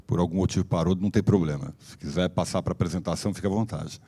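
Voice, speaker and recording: A slightly hoarse man speaks at a conference in a low, mellow voice. His delivery is loose and explanatory, full of fillers and restarts. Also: steady tone, quick pace. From Brazil. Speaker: company director giving a talk. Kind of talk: conference presentation. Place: conference hall.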